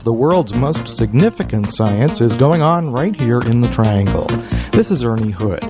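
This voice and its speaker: A man's voice: mid-range voice, lively intonation, normal speed. A man delivering a scripted promo as host of a science radio show. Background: guitar music.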